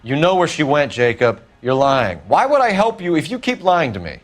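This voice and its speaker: An actor playing a stern homicide detective who questions a suspect in an accusing way. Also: male voice, fairly high pitch, big pitch swings, moderate pace. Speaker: lead detective.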